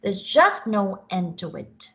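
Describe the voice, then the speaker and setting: Lady doing a harried storybook dad, despairing and exclaiming, pitch swoops up. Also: big pitch swings, slow, highs cut off. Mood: dismayed. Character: harried new father George, despairing and worn down. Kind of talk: storybook character voice.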